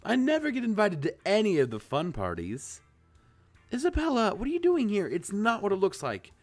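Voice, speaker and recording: A man doing a flustered young college girl, her voice jumping high and squeaky, swinging up and down in every line as she stammers out her words. she sounds eager and easily mortified. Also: huge pitch swings, normal speed, soft background music, full character voice. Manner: high-pitched, squeaky when embarrassed.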